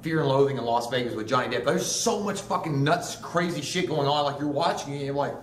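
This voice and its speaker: A man's voice: mid-range voice, fast. A man co-hosting a casual movie review, rambling and jokey with crude asides. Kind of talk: casual profane review chat. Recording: roomy, distant mic with faint noise.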